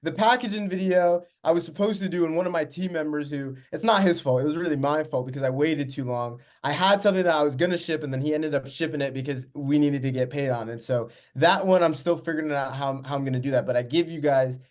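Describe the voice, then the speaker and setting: A man's voice: fairly high pitch, fast talker, few pauses. A man hosting an interview and coaching, blunt and casual, with slang. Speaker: host, business coach.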